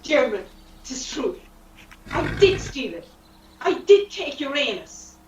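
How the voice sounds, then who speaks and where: A woman's voice: high voice, very animated, slow pace, full character voice. Girl doing flustered lovesick horse thief, radio drama, very melodramatic, roomy and off the mic, hissy. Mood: confessing. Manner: dramatic, protesting. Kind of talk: amateur radio play acting. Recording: roomy, distant mic with hiss.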